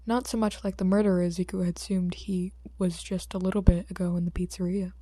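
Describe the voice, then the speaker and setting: A woman's voice: fairly low pitch, flat delivery, fast, mellow, no room sound. A woman reading scripted fiction aloud as an audiobook narrator.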